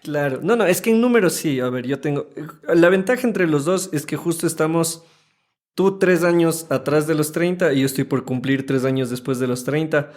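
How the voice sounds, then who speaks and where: Ecuadorian man, 30s, podcast co-host; animated, playful, slightly higher voice. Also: normal speed. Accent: Ecuadorian Spanish. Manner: animated, chatty, playful teasing. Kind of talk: casual podcast chat.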